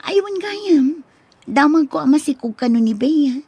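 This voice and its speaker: A woman's voice: high voice, expressive, unhurried, dark tone, no echo. A woman with an Ilocano accent playing an earnest, respectful young student mother in a radio drama. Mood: respectful, concerned.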